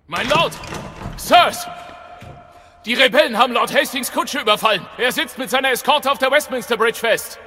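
An actor playing an alarmed messenger, calling out urgently in an audio drama. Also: male voice, extremely high pitch, moderate pace, pushed and bright, exaggerated voice. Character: alarmed messenger reporting an attack. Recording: hall reverb, music underneath.

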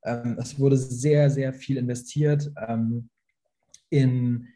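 A man's voice: mid-range voice, steady tone, takes it slowly, dark tone. A young man on a video call, a guest speaking hesitantly, with many fillers and restarts. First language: Farsi. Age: young adult. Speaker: actor, invited guest. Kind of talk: online panel talk.

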